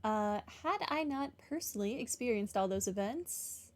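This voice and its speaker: A woman's voice: mid-range voice, lively intonation, normal speed. A woman doing a game playthrough, reading text aloud and adding casual comments. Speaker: gameplay streamer.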